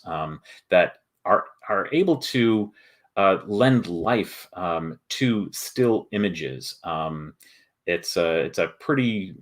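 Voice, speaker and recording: A man hosting a casual chat as a game publisher, explaining his process haltingly and thinking aloud as he goes. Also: deep voice. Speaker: board game publisher and show host.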